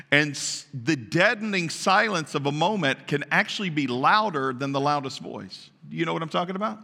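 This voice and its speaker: A man preaching a sermon to his congregation, naturally loud and emphatic, often asking them questions. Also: a little high, creaky. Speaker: pastor. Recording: long reverb of a large hall.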